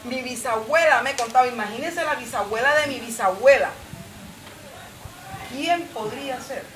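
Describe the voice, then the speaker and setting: A woman's voice: sits high, normal speed. A woman, speaking on a forum panel with proud, emphatic storytelling. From Colombia. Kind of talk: impassioned personal talk. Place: outdoor patio. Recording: roomy, distant and noisy.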